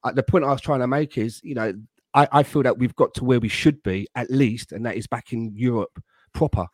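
A man on a football fan panel, speaking in a measured, careful way and restarting as he thinks. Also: mid-range voice, dry recording. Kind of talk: casual football fan chat.

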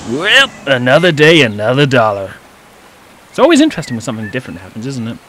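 Man acting a lively, wry teenage boy. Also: mid-range voice, quick pace, slight background noise, rough recording. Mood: wry, casual. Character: self-conscious teenage boy, wry, anxious, defensive. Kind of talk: audio drama dialogue and monologue.

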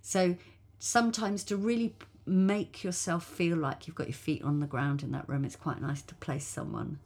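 A woman's voice: lowish voice, fairly even intonation, normal speed, a little mellow, slightly rough. A woman storyteller talking casually and thoughtfully on a podcast, often affirming the others. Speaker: storyteller guest.

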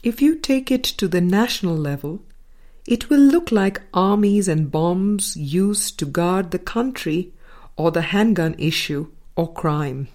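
Woman audiobook reader, spiritual text, unhurried and very slow, slightly low voice, deliberate pauses. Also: animated, a little mellow. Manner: measured, deliberate, with pauses between short phrases. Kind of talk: scripted reading of a spiritual dialogue.

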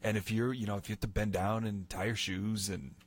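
A man's voice: fairly low pitch, fairly even intonation, brisk. A man working as a real estate agent, chatting on a radio show in an easygoing, practical way. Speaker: real estate sales representative. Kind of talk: casual radio chat. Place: radio studio.

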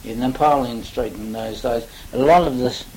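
An older Australian man, in his seventies or beyond, reminiscing in a halting, rambling way for an oral history interview. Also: medium pitch, vocal fry, a little mellow, low-quality recording. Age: older, 70s or above. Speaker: interviewee recalling local history. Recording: faint hiss, amateur interview recording.

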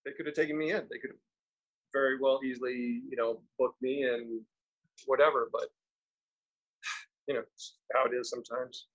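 A man's voice: medium pitch, steady tone, normal speed, pauses often, muffled recording. A man from Florida co-hosting a podcast, telling stories in an easygoing, conversational way. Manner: storytelling, easygoing. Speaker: podcast co-host. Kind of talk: casual chat.

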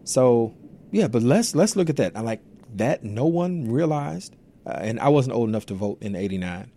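A man with a gravelly, dark-toned voice co-hosting a talk show. He speaks haltingly, repeating words as he works out what to say. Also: mid-range voice, animated. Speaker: podcast co-host. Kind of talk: casual political discussion.

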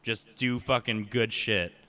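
Young man, loud crisp voice, bright tone, rambling crude banter full of swearing. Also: lowish voice, steady tone, a little slow. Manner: rambling, swears a lot, says "like" often.

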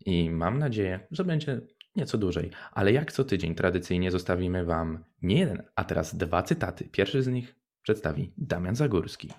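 A man's voice: low voice, lively intonation, moderate pace, creaky, hardly any pauses, a little mellow. A man co-hosting a radio show, presenting from a prepared script.

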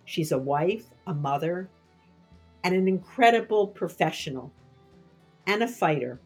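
A woman's voice: lowish voice, expressive, unhurried, breathy edge, soft background music. A woman hosting a podcast, delivering a scripted introduction. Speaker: podcast host.

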